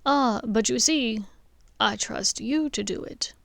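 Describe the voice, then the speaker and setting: A gentle, grave old wizard speaks in slow, measured, softened tones, read by a woman. The pitch sits on the higher side and the pace is very unhurried. The recording is dry and close. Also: creaky, dry recording. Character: aged male wizard headmaster, gentle and grave.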